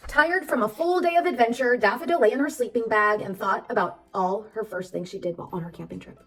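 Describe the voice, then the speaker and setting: A woman reading a children's storybook aloud as narrator, expressive and addressing young listeners. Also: fairly high pitch, quick pace, recorded from a distance, rough recording. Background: background music.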